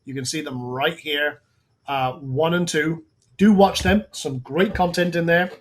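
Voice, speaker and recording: A man hosting a live software webinar, talking casually through on-screen steps with frequent restarts while his voice goes hoarse. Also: a little high, a little slow. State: voice going hoarse. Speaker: webinar host.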